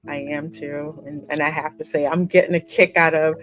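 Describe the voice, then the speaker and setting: A woman's voice: sits low, fairly even intonation, normal speed, low-quality recording. A woman hosting a gaming channel, casually talking through a game's story with music behind her. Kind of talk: casual discussion of a game story.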